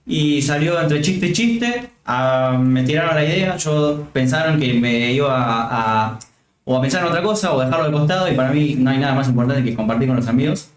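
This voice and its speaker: A man's voice: mid-range voice, brisk. Easygoing Argentine man hosting in a roomy set. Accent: Argentine Spanish. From Catamarca, Argentina. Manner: relaxed, thankful, joking. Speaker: stream host. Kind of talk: casual stream chat. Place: home streaming set.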